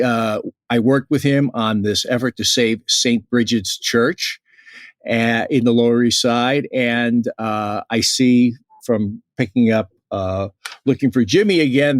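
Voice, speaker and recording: A man hosting a podcast interview, thinking aloud in a halting way with many fillers. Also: medium pitch, no room sound. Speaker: podcast host. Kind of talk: conversational interview.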